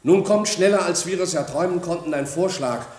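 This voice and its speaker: A man's voice: sits high, a little fast, a little mellow, close to an everyday voice. An actor playing a solemn, ceremonious city spokesman giving a formal address in a German radio play. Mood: solemn, grateful. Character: solemn male spokesman of grieving Babylon. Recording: echoing room in radio play.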